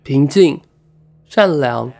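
A man's voice: medium pitch, animated, extremely slow, vocal fry, a little mellow, exaggerated voice. A man acting a cold, proud rebel spymaster who delivers a bitter, solemn monologue in a radio play.

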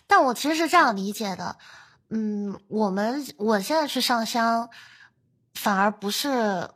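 A young woman with a fairly high voice that has a husky, breathy grain. She talks like a podcast host among friends, chatty and reflective as she thinks things through. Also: expressive, unhurried, fairly bright tone. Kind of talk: casual podcast chat.

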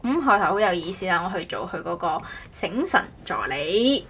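A woman co-hosting a casual podcast, telling stories in an animated, rambling way. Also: fairly low pitch, moderate pace, husky. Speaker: podcast co-host. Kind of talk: casual chat.

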